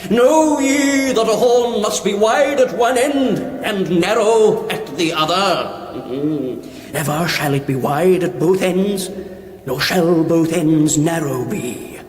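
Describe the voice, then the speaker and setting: Pompous master craftsman of comic radio fantasy: high, slow, grandly archaic, in an echoing hall. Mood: grandly boastful. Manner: pompous, mock-archaic, declaiming. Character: pedantic, self-important master horn shaper of fantasy caverns. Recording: echoey reverberant hall, faint music behind.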